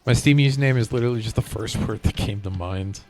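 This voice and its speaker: A man's voice: lowish voice, quick pace, a little mellow, soft background music. A man chatting casually on a gaming live stream, rambling as he hops from one thought to the next. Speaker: game streamer.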